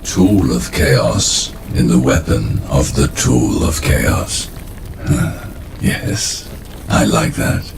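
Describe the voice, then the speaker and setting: Casting: brooding fantasy game craftsman, bottomless gravelly voice, cryptic and unhurried, heavy background noise. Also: rumbling low, expressive, full character voice. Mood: musing, pleased. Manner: cryptic, savouring each phrase. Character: brooding male rune craftsman fascinated by power, cryptic. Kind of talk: fantasy game character acting. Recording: very loud background ambience.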